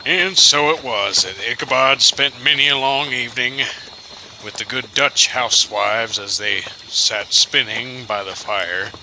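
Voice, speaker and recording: A man narrating an old tale for an audio drama in a measured, dramatic storybook style. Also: medium pitch, bright, forceful tone, slight background noise, rough recording.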